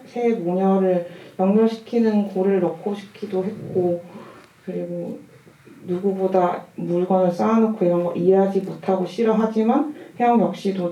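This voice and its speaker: A distant, slightly muffled recording in a roomy space carries a woman with a mellow voice. She is a film director at a Q&A, giving long, reflective answers. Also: lowish voice, a little hiss or hum. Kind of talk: audience Q&A talk. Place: cinema hall at a festival Q&A.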